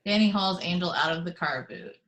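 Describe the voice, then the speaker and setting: A woman with a fairly low voice reads out the stage directions in a steady, measured way. She is heard over a video call during an at-home table read. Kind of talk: reading action description aloud.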